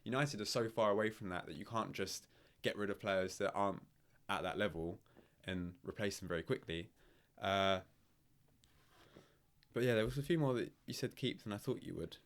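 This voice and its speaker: Deep-voiced podcast host. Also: male voice, moderate pace. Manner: leads the questions, chatty. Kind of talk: casual sports chat.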